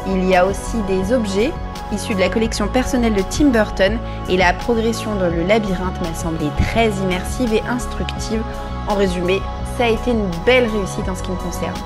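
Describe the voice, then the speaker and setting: A woman's voice: medium pitch, fairly quick, music in the background. Woman narrating a vlog voice-over. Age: 30s. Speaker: vlogger.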